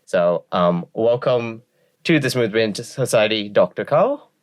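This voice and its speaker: A man hosting a podcast, reading a disclaimer and introducing his guest in a friendly, slightly halting way. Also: lowish voice, animated. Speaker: podcast host.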